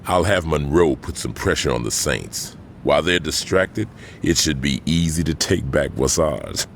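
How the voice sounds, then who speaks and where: Very deep, gravelly gang kingpin. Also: male voice, lively intonation, normal speed, slight background noise, full character voice. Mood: cold authority. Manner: authoritative, blunt. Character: commanding middle-aged male gang kingpin, stern and short-tempered. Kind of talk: video game cutscene acting.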